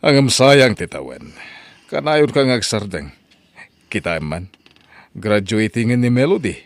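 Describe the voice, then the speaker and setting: A man's voice: lowish voice, sing-song, measured pace, no echo. A man acting a stern, exasperated father in an Ilocano radio drama, scolding in an Ilocano accent. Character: stern, exasperated well-off father of college-age daughter.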